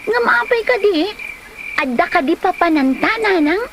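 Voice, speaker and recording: A woman's voice: very high voice, animated, normal speed, very noisy. A woman voicing a sweet, dutiful young daughter in a comedy audio drama. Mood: sweet, curious. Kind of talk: comedy radio-style drama acting.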